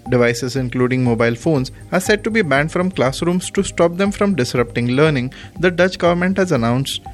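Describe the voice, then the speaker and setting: A man with an Indian accent reading a scripted news bulletin as its presenter. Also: mid-range voice. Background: music.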